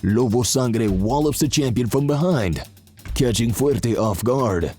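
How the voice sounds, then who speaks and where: An actor playing the dramatic narrator of a pulpy wrestling adventure in a scripted audio drama, formal in delivery. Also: male voice, medium pitch, expressive, a little slow, mellow, slightly rough, soft background music.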